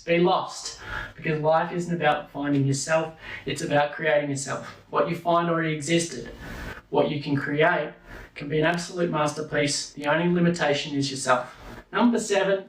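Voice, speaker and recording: A man delivering a motivational talk, counting off numbered points slowly and deliberately. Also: on the high side, fairly bright tone. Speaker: speaker. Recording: echoey room from a distance.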